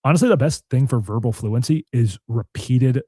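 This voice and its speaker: A man's voice: moderate pace, dark tone, breathy edge, no echo, clean studio sound. A young man hosting a podcast in casual chat, talking in long rambling stretches full of "like". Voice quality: deep voice. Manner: rambling, filler-heavy, frequent "like". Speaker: podcast host.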